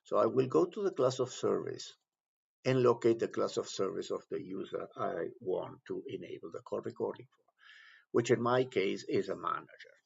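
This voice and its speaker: A man instructing step by step through a software tutorial, explaining in halting phrases. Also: on the low side, lively intonation, creaky, slightly rough. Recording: dull, lo-fi voice-over recording.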